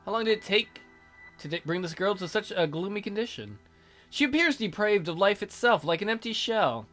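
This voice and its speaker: A man's voice: high-pitched, expressive, brisk, fairly bright tone, faint music behind. A man reading a visual novel aloud theatrically as a let's play host, with casual, jokey asides.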